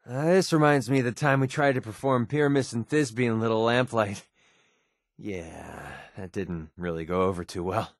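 A man's voice: medium pitch, no room sound, clean studio sound. A man voicing a wry, wary young mercenary companion in a post-apocalyptic video game, grumbling in colloquial, sarcastic lines.

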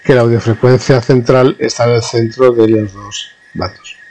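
Male instructor, medium-pitched voice, informal hands-on tech demo, explanatory, stop-and-start delivery with self-corrections. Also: fairly even intonation, normal speed, a little mellow, lo-fi sound, slight background noise. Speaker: radio communications instructor. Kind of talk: informal hands-on technical demonstration narration.

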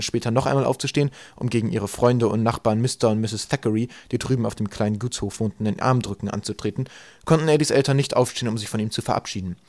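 A man narrating a comic children's story from a script, with dry, deadpan storytelling. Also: medium pitch, no room sound. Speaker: audiobook narrator.

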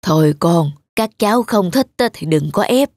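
A woman playing a gentle, nostalgic elderly grandmother in a cartoon, speaking in a tender, homely, wistful way. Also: lowish voice, lively intonation, moderate pace, no room sound, clean studio sound, natural voice. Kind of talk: animated cartoon dialogue.

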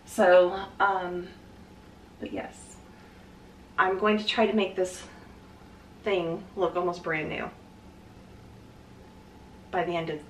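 A woman's voice: fairly low pitch, little pitch movement, takes it slowly, pauses often, far from the mic, a little hiss or hum. Chatty woman in a roomy kitchen. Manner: chatty, thinks aloud with fillers and restarts. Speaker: cleaning vlogger. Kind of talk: casual talk while cleaning. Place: home kitchen, indoors. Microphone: camera mic from a few feet away. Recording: roomy kitchen sound from off-mic.

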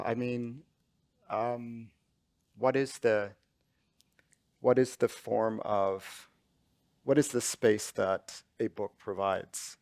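Slow, gravelly man thinking aloud. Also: lowish voice, no echo. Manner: halting, searching for words, many restarts. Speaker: author on a panel. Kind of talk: reflective panel talk. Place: large auditorium stage.

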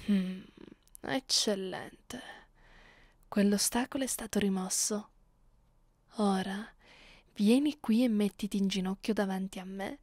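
Woman doing a bossy seductive vampire lady for asmr, super slow, close dry mic, kinda cruel. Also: medium pitch, darkish tone, dry recording, lightly acted. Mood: commanding, teasing. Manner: commanding, slow and deliberate, slipping into breathy whispers. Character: domineering, sadistic female vampire mistress, haughty and seductive. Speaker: roleplay narrator and voice actor. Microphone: close, dry microphone.

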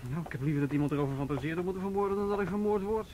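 Radio drama: male actor as teasing young city cop, easygoing, informal banter, fairly high voice. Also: rapid-fire, dark tone, slight background noise, lightly acted. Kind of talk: naturalistic Dutch radio drama acting.

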